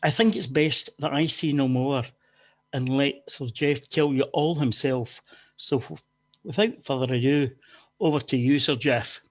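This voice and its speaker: Male event chair, mid-pitched voice, formal scripted webinar welcome. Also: fairly even intonation, measured pace. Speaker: forum chair, event host. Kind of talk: read introduction. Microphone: video call.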